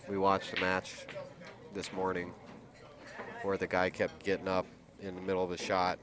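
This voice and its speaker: A man's voice: deep voice, completely flat delivery, moderate pace. A man commentating a pool match, chatty and reacting to each shot with encouragement. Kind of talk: play-by-play commentary. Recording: noisy crowd in the background.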